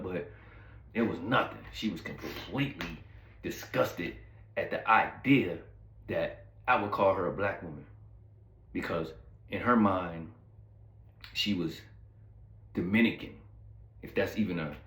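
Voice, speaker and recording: A man with a fairly low voice talks loosely to his viewers, drifting through a personal story with lots of fillers and side remarks. His voice rings a little in a roomy, echoey space. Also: a bit bright. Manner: rambling, laid-back, heavy on fillers and asides to viewers. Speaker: channel host telling a story. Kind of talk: casual storytime monologue.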